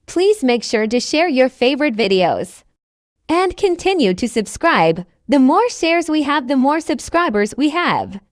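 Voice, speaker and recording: Female channel announcer, high voice, dry close sound, scripted presenter delivery. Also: very animated, measured pace, no echo. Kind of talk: scripted channel intro and outro.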